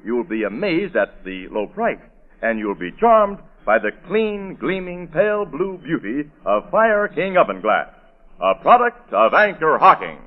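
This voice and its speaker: An American man delivers polished 1940s radio announcements. His voice is fairly high and swings widely with emphatic, scripted delivery. The recording is thin and phone-like, with faint music. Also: big pitch swings, unhurried. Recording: tinny old radio broadcast, faint music.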